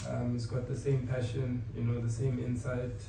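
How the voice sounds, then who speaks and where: A man speaks in a completely flat, monotone voice, pausing often on "um". He sounds far from the microphone in a very echoey room, with noise running behind him. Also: mid-range voice. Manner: hesitant, with frequent fillers. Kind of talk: press conference answers. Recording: echoey room, distant mic, background noise.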